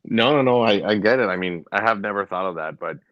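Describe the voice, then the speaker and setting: A man's voice: fairly low pitch, quick pace, no echo, highs cut off. A man co-hosting a podcast in casual chat, easygoing and agreeable, with light teasing. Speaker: podcast co-host.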